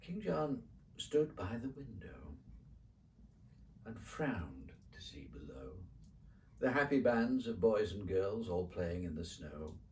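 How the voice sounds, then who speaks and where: A man reading a children's poem as a dramatic storyteller, with rhythmic, theatrical, expressive phrasing. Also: on the low side, takes it slowly, far from the mic, a little hiss or hum. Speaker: poetry reader.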